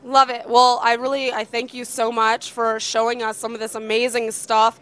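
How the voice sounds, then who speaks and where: A woman in her thirties hosting a trade-show interview, bubbly and enthusiastic with quick, eager reactions, speaking into a handheld mic in a convention hall. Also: on the high side, level tone, fairly bright tone, clean tone. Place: busy convention hall booth.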